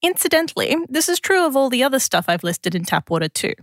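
A woman's voice: sits high, big pitch swings, fast talker, fairly bright tone, no echo, clean studio sound. A woman with an Australian accent presents a scripted science explainer in a conversational, lightly wry way.

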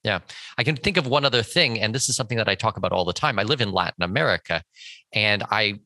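A man's voice: lowish voice, animated, quick pace, bright, forceful tone, no echo. A man from Canada hosting a conversational interview and thinking aloud in long, hedged sentences. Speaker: podcast host.

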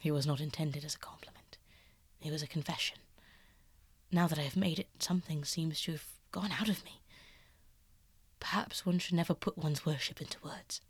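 A woman voicing a sad, devoted male painter who speaks in a hushed near-whisper. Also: low-pitched, normal speed, pauses often, breathy edge, no echo.

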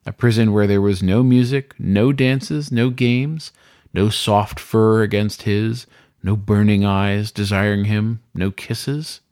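A man's voice: fairly low pitch, fairly even intonation, slow, a little mellow, smooth, no room sound. Dry, close-recorded male story narrator. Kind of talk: scripted dramatic story narration.

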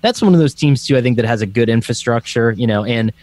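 A man's voice: medium pitch, expressive, fast talker, darkish tone, no echo. A young man with a Scranton, Pennsylvania accent, co-hosting a casual sports podcast and rambling with lots of fillers. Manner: rambling, hedging with many fillers. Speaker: podcast co-host.

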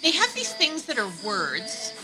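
Middle-aged woman, wry deadpan candidate, slightly high voice, music underneath. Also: unhurried, low-quality recording. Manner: dry, wry humor. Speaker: congressional candidate, guest. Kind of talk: casual comedic interview. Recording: music in background.

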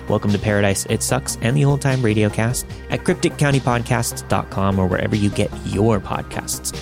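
Man, low-pitched voice, horror podcast host, scripted storytelling, faint music behind. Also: vocal fry. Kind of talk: scripted narration of listener-submitted horror stories.

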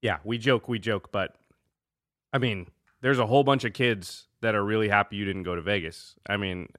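A man co-hosts in an easy bantering style. His voice sits a little low yet cuts through brightly, and the recording is dry and close. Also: moderate pace, no room sound. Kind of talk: casual banter.

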